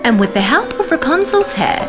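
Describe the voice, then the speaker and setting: A woman narrating a bedtime fairy tale in an expressive, sing-song storytelling manner. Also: sits high, fairly quick. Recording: narration over music.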